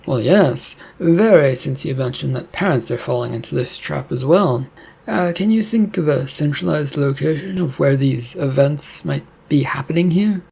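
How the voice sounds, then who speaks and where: Firm male demon hunter, read by the narrator, matter-of-fact, gravelly voice. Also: fairly high pitch, moderate pace, darkish tone.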